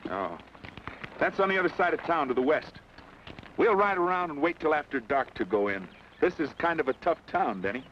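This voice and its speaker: A laconic western rider. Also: male voice, fairly high pitch, sing-song, a little fast, a little hiss or hum. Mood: calm. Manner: dry, laconic. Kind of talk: 1940s radio acting. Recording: muffled old radio from a distance.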